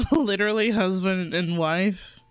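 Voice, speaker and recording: A woman doing casual, playful live reaction commentary as a game streamer, expressive and giggly. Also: mid-range voice, slow pace, smooth, no echo. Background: game music.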